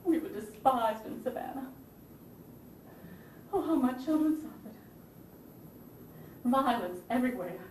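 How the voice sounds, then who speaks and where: Actress as a high-voiced proud Southern First Lady, distant. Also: animated, a little slow, pauses often, a little mellow. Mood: sorrowful. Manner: theatrical, genteel, emphatic, swinging from anguish to haughty scorn. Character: proud, indignant Southern First Lady of the Confederacy, wounded mother. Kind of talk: stage play monologue. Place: theatre stage, recorded from a distance. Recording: roomy distant stage recording with hiss.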